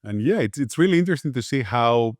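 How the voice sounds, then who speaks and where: A man from Greece, a podcast guest, giving relaxed conversational answers with frequent fillers and restarts. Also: medium pitch, quick pace, no room sound, studio quality. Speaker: podcast guest, agency founder.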